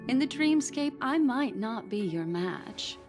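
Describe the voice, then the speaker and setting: An actress playing a cool, stern and suspicious woman in a video game, speaking in a measured, guarded, cutting way. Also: a little high, expressive. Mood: defiant. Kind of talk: dramatic video game voice acting. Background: faint background music.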